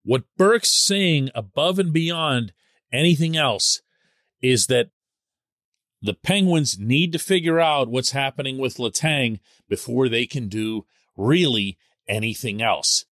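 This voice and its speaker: A man hosting a sports podcast as a columnist, giving solo commentary in an animated, emphatic way. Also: mid-range voice, dry recording, clean studio sound. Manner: animated and emphatic, stresses key words.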